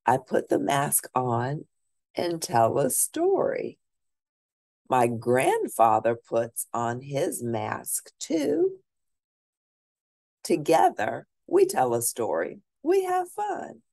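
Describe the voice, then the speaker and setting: A woman with a very deep, low-set voice reads a picture book aloud to young listeners. She takes her time over each line, and her pitch rises and falls in a lively, animated way. The sound is close and dry, with no room echo. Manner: slow, deliberate read-aloud pacing with questions to listeners. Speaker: teacher.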